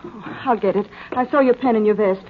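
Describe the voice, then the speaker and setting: An actress in a 1950s radio drama playing an anxious, devoted young woman with a breathy, pleading voice. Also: mid-range voice, fairly quick, very noisy, lightly acted. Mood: worried. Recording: lo-fi old radio recording.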